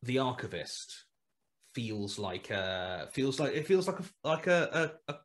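A man's voice: medium pitch, big pitch swings, unhurried. A man in his thirties talking on a video call through a headset, thinking aloud with many fillers, restarts and hesitations. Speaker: guest writer. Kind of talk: casual video call interview. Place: small room at home.

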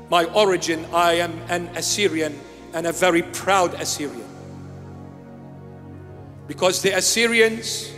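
High-voiced bishop preaching with emphasis. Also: male voice, sharp tone, long reverb tail. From Iraq. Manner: emphatic, repeating key phrases. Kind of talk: sermon. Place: small church with altar and candles. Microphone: handheld microphone.

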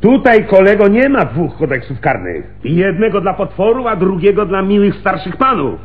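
Wry, husky police lieutenant. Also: male voice, high voice, big pitch swings, normal speed, phone-quality sound, heavily acted. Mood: ironic, animated. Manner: wry, ironic, commanding. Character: seasoned, wry police lieutenant, head of homicide. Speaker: radio drama actor. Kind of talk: Polish radio play acting.

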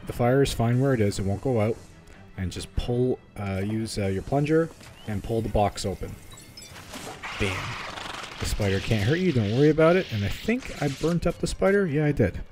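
Laid back guy w a mellow lowish voice explaining a video game, kinda hesitant, chatty. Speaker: video game guide host. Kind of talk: casual gameplay walkthrough commentary.

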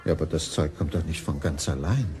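A man's voice: a bass voice, brisk, dark tone. An actor playing a brooding, bewildered man in a German radio crime drama. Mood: brooding, reasoning. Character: brooding, bewildered man unravelling his lover's secret.

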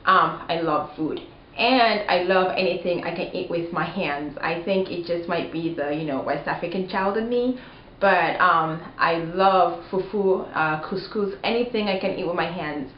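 A young woman from Cameroon chatting casually and expressively to camera as a video blogger, in an informal, emphatic way. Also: medium pitch. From Cameroon, also lived in Kenya and Rwanda. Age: young adult. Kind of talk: casual chat to camera, answering tag questions. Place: small living room, indoors. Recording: dull, roomy home recording with faint noise.

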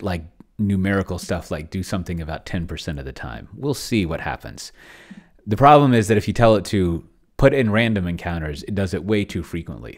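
A man's voice: deep voice, a little mellow. A man giving casual screen-share commentary, thinking aloud in a rambling, hesitant way with wry, self-deprecating humour. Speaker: solo tabletop roleplayer, video host. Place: indoors at a computer, screen recording.

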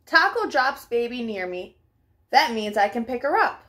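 High-pitched, animated young woman storytime reader. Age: young adult. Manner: expressive, deliberate, child-directed delivery. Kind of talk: read-aloud children's storybook narration. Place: small furnished living room at home.

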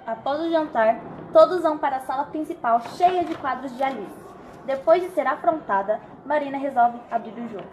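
A woman's voice: sits high, fairly quick, a little mellow, in a large hall, slight background noise, natural voice, rough recording. A woman narrating an amateur radio play from a script, telling a society melodrama.

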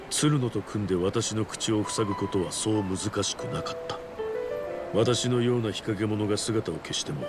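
A cool, calculating agent , voiced by a man in a video game drama. He speaks in a low register with formal, dry courtesy and steady composure. Loud music swells behind him. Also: lightly acted. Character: cool, polite, calculating male handler from a shadowy organisation.

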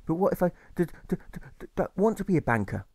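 A man's voice: high voice, big pitch swings, fast talker, darkish tone, slightly rough, dry recording, voice pushed up, full character voice. A timid son acted by a man pleads in a raised boyish voice. He stammers and repeats his words, an earnest audiobook character recorded dry. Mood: pleading, anxious.

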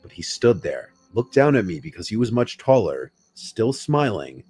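A man narrating a scripted first-person scary story in a measured, unhurried way. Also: fairly low pitch, very animated, darkish tone, slightly rough. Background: music behind the voice.

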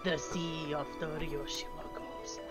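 A woman voicing a vain, flirtatious Frenchman with a put-on French accent, speaking slowly and theatrically. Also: low voice, little pitch movement, loud music behind the voice. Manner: slow, theatrical, flowery.

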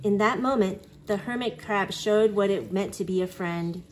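A woman's voice: medium pitch, moderate pace, a little hiss or hum. A woman reading a children's picture book aloud expressively, acting out exclamations and sound effects. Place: living room at home.

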